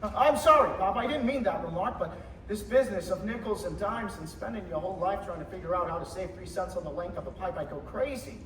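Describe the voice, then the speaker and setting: A man's voice: high-pitched, fast talker, fairly bright tone. A young male actor playing an eager, restless small-town young man with big dreams, in a stage radio play. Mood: restless, earnest. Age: teenager. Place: high school theater stage. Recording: roomy stage recording, distant mic, faint noise.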